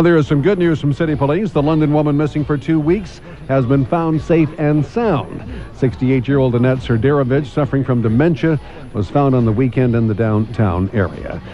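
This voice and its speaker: A man's voice: medium pitch, warm tone, dull audio. A man with a Canadian accent anchoring a morning radio newscast in a conversational, punchy style with clipped phrases.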